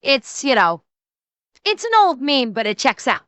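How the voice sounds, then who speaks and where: A woman streamer reacting in a crude, profane, laughing way. Her voice is high, bright and clear, with a lively rise and fall, and the recording is dry and close. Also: a little slow, no echo. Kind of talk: casual reaction commentary.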